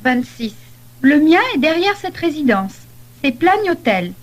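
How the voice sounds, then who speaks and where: A woman playing a polite young holidaymaker in a scripted language-lesson dialogue, speaking deliberately. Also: high-pitched, big pitch swings, low-quality recording. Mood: polite, helpful.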